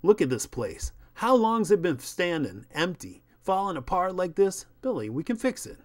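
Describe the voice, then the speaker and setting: A man's voice: sits high, very animated, moderate pace, voice pushed up. A man voicing an exasperated film agent who pleads and coaxes in a persuasive manner. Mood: coaxing. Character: coaxing, exasperated male film agent smoothing things over.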